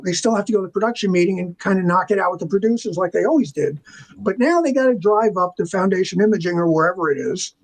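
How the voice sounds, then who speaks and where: A man's voice: high voice, darkish tone, low-quality recording. An older man telling casual anecdotes as an interview guest, acting out the dialogue in colloquial language. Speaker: interview guest, veteran visual effects artist.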